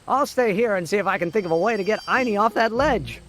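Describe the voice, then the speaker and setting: An actor playing an earnest, excitable young male scientist in a video game, with a wordy, precise, bookish way of talking. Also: high voice, fast. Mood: earnest, bothered. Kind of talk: animated video game voice acting. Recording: music under the voice.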